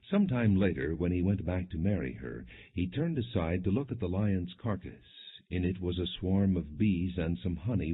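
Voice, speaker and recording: A man narrating scripture as a scripted audiobook, with a measured, expressive delivery on slightly muffled audio. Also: deep voice, husky.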